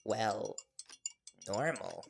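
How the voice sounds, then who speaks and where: A man's voice: on the low side, lively intonation, drawn-out words, creaky, background noise, full character voice. A man playing an earnest, nervous young reporter boyfriend in an intimate audio roleplay, hesitant and deferential. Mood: sheepish, admiring.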